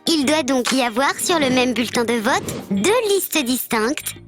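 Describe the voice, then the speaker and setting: A woman's voice: sits high, expressive, a little slow, faint music behind. A woman voicing a friendly bird mascot who presents scripted civics explainers in a didactic, structured way. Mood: instructive, friendly. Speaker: presenter of an educational programme. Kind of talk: scripted educational narration.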